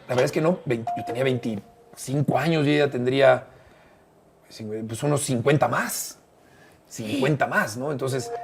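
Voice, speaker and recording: Older Mexican man, measured storyteller. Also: mid-range voice, gravelly, slight background noise. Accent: Mexican Spanish. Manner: measured, fills pauses with "eh", repeats phrases. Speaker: interview guest, broadcaster and bullfight writer. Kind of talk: relaxed conversational storytelling.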